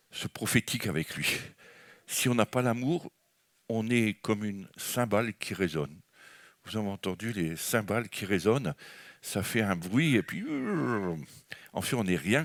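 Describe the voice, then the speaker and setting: A man with a French accent gives a spontaneous charismatic sermon, enthusiastic and meandering, often restarting his sentences. His voice sits somewhat low. The sound is close and dry, with little room. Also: no room sound. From France. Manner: enthusiastic, rambling, with frequent restarts and fillers. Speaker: guest preacher. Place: church.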